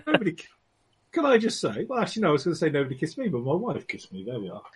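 Very raspy playful man. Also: fairly high pitch, huge pitch swings. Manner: playful, quick asides. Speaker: player. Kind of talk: casual chat at a game table.

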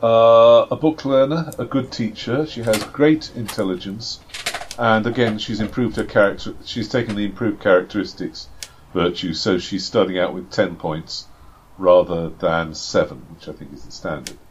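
A man's voice: lowish voice, moderate pace, slight background noise. A man running a tabletop game who talks casually, with many restarts and fillers and dry, self-deprecating humour. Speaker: game master and podcast co-host. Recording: slightly dull lo-fi home recording.

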